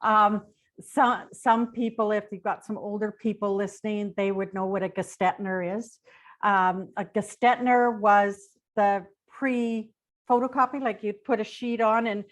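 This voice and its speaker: Older Canadian female interview guest, middle-pitched voice, chatty and anecdotal storyteller. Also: fairly even intonation, measured pace. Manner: informal, rambling, anecdotal. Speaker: interview guest, retired police dispatcher. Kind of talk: conversational personal storytelling.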